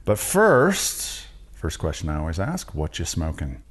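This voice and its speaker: Deep-voiced man hosting a casual podcast. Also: a little slow. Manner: relaxed, chatty, asks short follow-up questions. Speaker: podcast host. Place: home lounge.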